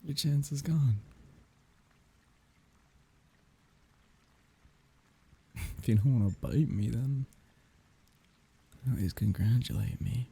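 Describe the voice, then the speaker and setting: A man acting a grieving lover saying farewell to his dead beloved in an intimate ASMR audio roleplay, speaking in a wry, tender, halting murmur. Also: mellow, full character voice. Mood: playful, bittersweet. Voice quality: husky, breathy, gravelly low voice.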